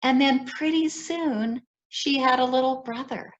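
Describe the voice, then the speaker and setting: A woman's voice: high-pitched, a little slow, muffled recording. An older woman with an American accent, a children's book author telling personal stories in a talk for young listeners. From grew up in Ethiopia as an American child.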